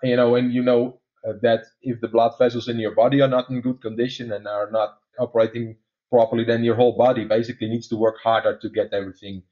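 A man's voice: medium pitch, little pitch movement. Dutch guy explaining tech stuff on a podcast, lots of uh's, kinda dull lo-fi sound. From Netherlands. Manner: many "uh" fillers, measured explaining. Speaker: guest expert. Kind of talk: technical explanation in conversation.

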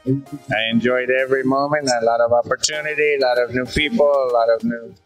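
A man's voice: mid-range voice, fairly even intonation, normal speed, faint music behind, poor audio. A middle-aged man answering street interview questions on a plaza in short, plain replies. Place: outdoor town plaza. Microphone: handheld mic held by interviewer.

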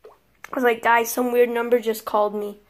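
A teenage girl chatting casually and excitedly with friends as she films prank calls in a bedroom. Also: on the high side, normal speed. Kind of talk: casual chat among friends. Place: small bedroom, indoors. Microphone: handheld phone camera.

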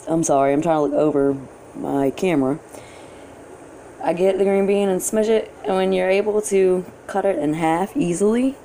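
A young woman talks in a friendly, instructive way as she cooks, her voice sitting on the lower side. She is picked up by a camera on the counter in a home kitchen, with a faint hum of room noise behind her. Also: dark tone. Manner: chatty, friendly, instructive with small restarts. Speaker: cooking vlogger. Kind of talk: casual cook-along talk to camera. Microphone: camera on counter a few feet away.

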